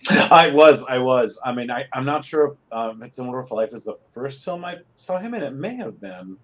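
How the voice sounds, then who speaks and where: Middle-aged man with medium pitch, rambling casually. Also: expressive, moderate pace, fairly bright tone. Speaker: guest, playwright. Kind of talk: casual conversational recollection.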